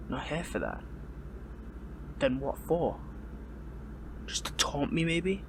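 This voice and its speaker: A man playing a wounded young captive hero in an audio roleplay, wry and defiant, speaking haltingly with a pained, weary strain. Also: mid-range voice, background noise, natural voice. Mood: guarded, sardonic. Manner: halting, sardonic, stammering. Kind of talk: dramatic audio roleplay, one-sided dialogue.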